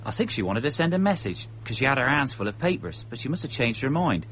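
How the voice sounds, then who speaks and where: Chatty helpful ship radio man, lively, mid voice, radio play, faint hum. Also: medium pitch, fast talker, low-quality recording. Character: obliging, chatty male ship's radio operator. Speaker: ship's radio operator. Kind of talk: radio drama acting.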